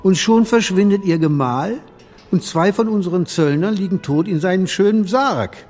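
A man's voice: high voice, normal speed, darkish tone, in a large hall, faint music behind, lightly acted. A man playing a brusque, probing police detective chief in a German radio crime drama, with dry irony.

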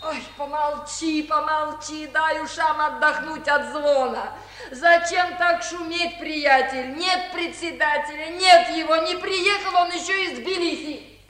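A high-voiced grumbling old grandmother. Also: a little slow, fairly bright tone. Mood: grumbling, scolding. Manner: exclaiming, lamenting, fussy scolding. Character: old village grandmother, grumbling, scolding yet doting. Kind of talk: Soviet 1950s radio musical comedy acting. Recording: 1950s Soviet radio recording.

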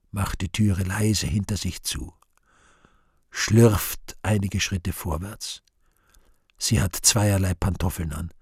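A man reading stage directions as dramatic scripted narration for a radio play, deliberate in manner. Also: deep voice, a little mellow, slightly rough, no room sound, studio quality.

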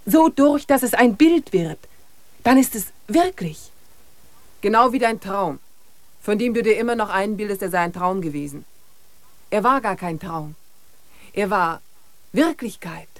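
A woman's voice: medium pitch, animated, measured pace, long pauses, dry recording. Spirited, direct woman. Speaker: main character, painter. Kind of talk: classic radio play acting.

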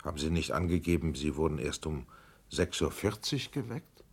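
A man's voice: rumbling low, lively intonation, moderate pace, husky, exaggerated voice. An actor playing a male committee investigator in a radio drama, questioning witnesses in a pressing, formal way. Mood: probing. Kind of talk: 1980s radio drama acting.

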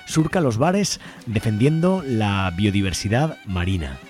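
A man's voice: on the low side, sing-song, measured pace, darkish tone. Spanish man narrating radio over faint music. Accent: Spain Spanish. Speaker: radio show co-host. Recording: music bed behind the voice.

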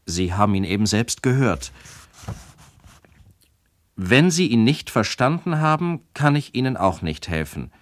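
An actor playing a deadpan, formal, slightly hesitant substitute radio announcer. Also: male voice, medium pitch, no room sound, clean studio sound, natural voice.

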